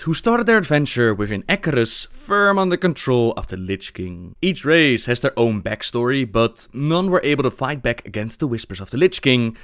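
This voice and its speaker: A man's voice: mid-range voice, no room sound, clean studio sound. A man narrating a scripted story recap of a fantasy game.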